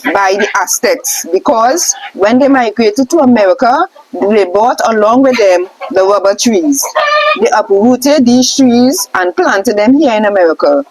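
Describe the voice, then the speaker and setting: A woman reading a scripted question-and-answer lesson aloud, setting out names and lists deliberately. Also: mid-range voice, a little hiss or hum, rough recording. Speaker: reader of a scroll text.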